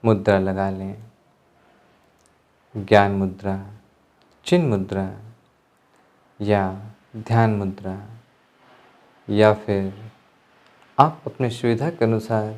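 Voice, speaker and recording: Man, meditation instructor, low voice, very slow pace, calm measured phrasing, pauses between instructions. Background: music.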